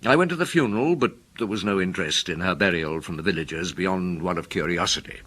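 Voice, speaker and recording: An older detective looking back in a radio play. The voice is very deep and husky, reflective and wry, rising and falling expressively as he narrates. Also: expressive, fairly bright tone, heavily acted. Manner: reflective, deliberate. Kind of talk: first-person radio drama narration.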